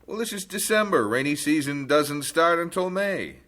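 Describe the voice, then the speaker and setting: An actor playing a practical missionary husband in an old radio drama. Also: male voice, on the high side, animated, normal speed, a bit bright. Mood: fussing, practical.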